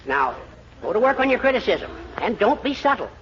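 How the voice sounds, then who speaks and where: Urging radio schemer, high animated voice. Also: male voice, fairly quick. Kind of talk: 1940s radio comedy acting. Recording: muffled, noisy vintage radio broadcast.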